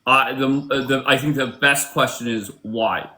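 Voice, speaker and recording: A man's voice: medium pitch, steady tone, measured pace, sharp tone, slight background noise. A man hosting a live stream, talking bluntly and swearing as he questions callers. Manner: blunt, profane, challenging. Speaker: livestream host. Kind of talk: casual live-stream debate chat.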